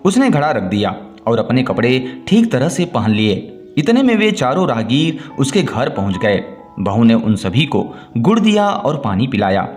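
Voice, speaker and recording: A man telling a scripted moral folk tale as a storyteller, in the measured way of read narration. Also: mid-range voice, big pitch swings, darkish tone. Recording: music bed under the voice.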